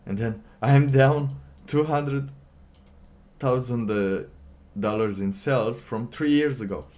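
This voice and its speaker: A man doing casual livestream commentary, reading aloud and reacting in a halting, sardonic way, often repeating his phrases. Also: mid-range voice, a little mellow. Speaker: livestream host.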